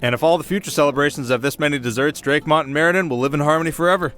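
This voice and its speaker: A man playing an earnest, playful young warrior in an audio drama, joking and quick to get defensive. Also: a little high, fairly bright tone, soft background music, lightly acted. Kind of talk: comic audio drama acting.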